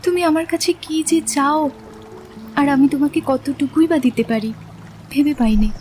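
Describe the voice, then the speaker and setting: A woman playing a gentle, earnest young woman in a romantic audio drama, speaking softly and candidly about her doubts in love. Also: sits high, soft background music. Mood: anxious, tender. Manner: soft-toned, sincere, measured. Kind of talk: Bengali literary audio drama acting.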